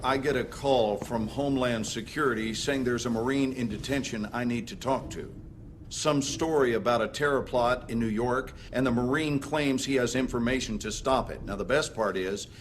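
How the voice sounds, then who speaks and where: A composed male interrogator played by a male actor in a military game cutscene. He speaks in a controlled, dryly sardonic way at a middle pitch, with faint noise behind him. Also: natural voice. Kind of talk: acted game cutscene dialogue.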